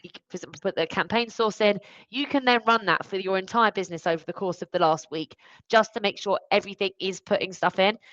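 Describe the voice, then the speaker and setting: A woman with a medium-pitched voice explains practical points in a live business discussion. She is conversational and emphatic, like a consultant advising clients. The sound is dry and slightly dull, as on a compressed webcast. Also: fast talker, a bit bright, no echo. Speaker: recruitment automation consultant. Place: online live stream.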